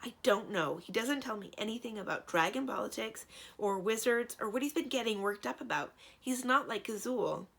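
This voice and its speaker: A woman voicing an eager, chatty, easily worried young female friend in a storybook, animated and earnest. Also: on the high side, moderate pace, gravelly, pitched above the performer's own voice, heavily acted. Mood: uneasy.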